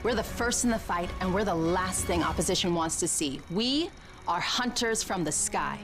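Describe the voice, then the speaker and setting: A woman's voice: lowish voice, lively intonation, normal speed, natural voice. Woman playing a tough paratrooper over music. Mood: bold, defiant. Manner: bold, confident, hard-edged. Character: tough, fearless American airborne paratrooper recruiter. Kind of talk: military recruitment film narration.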